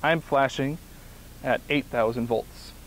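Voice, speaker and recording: A man's voice: medium pitch, slow, a little hiss or hum, poor audio. A man in his thirties giving a plain step-by-step demonstration outdoors in a grassy field, speaking in short phrases while he works. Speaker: product demonstrator.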